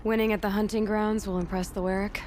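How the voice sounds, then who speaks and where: An actress playing a young, determined, plain-spoken outsider huntress in a video game. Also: female voice, mid-range voice, flat delivery, fast talker, darkish tone, slight background noise, natural voice. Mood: matter-of-fact. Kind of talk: video game cutscene dialogue.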